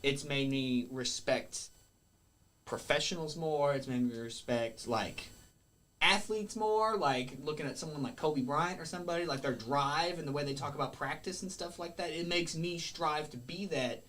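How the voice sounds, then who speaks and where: A man's voice: on the high side, normal speed, fairly bright tone. A man, a wrestler guesting on a podcast, talking in a rambling, earnest way and correcting himself as he goes. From Kentucky. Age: 20s. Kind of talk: casual podcast interview.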